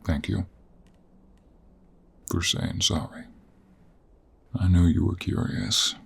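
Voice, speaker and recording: A man playing a gruff, teasing, weary 49-year-old cowboy monster hunter in an intimate audio roleplay, with a husky Western American drawl. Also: low voice, a little mellow, full character voice. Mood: apologetic, soft. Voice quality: gravelly, husky. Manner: slow, drawling, with heavy sighs and pauses. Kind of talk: intimate audio roleplay acting, addressed to the listener.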